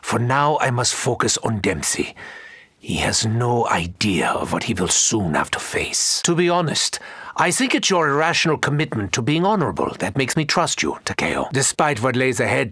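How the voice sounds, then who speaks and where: A man's voice: medium pitch, fairly bright tone, slightly rough, no room sound, clean studio sound, heavily acted. A male actor playing a sinister, scheming German doctor in a video game, with precise, formal and theatrical delivery.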